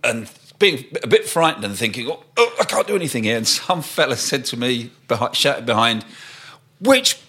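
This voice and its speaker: A man, a podcast guest recalling old memories in a halting, thoughtful way. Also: medium pitch, very animated, pushed and bright, breathy edge. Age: 60s. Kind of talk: casual reminiscing chat.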